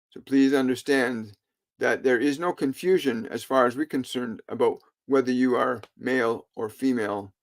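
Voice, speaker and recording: A man delivering a solemn spiritual sermon in formal, oratorical phrasing, breaking it into short, halting phrases with frequent pauses. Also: mid-range voice. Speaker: spiritual channeler delivering a message.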